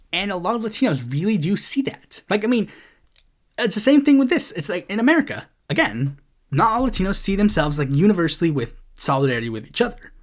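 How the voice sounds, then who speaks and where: A man hosting a casual solo political commentary show, rambling with lots of filler words and blunt, profane asides. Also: on the high side.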